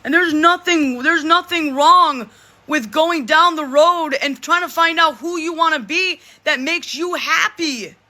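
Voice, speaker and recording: A person in their thirties vlogs to camera with a forceful, bright-edged voice that they describe as deep. They are emphatic and repeat themselves, circling back and restarting phrases as they press a point. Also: a little slow. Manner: speaks in a self-described deep voice. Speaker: vlogger speaking to supporters. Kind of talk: casual monologue to camera.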